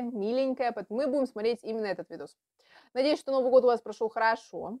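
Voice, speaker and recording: A woman's voice: a little high, warm tone, dry recording, clean studio sound. A young adult woman chatting casually as she hosts a reaction video at home. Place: small room at home with bookshelves.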